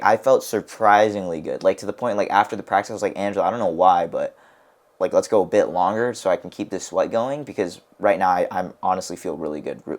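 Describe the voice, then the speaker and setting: A young American man co-hosting a casual podcast, telling rambling stories full of "like" and restarts. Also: sits low. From United States. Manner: rambling storyteller, heavy on "like". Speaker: podcast co-host and wrestler.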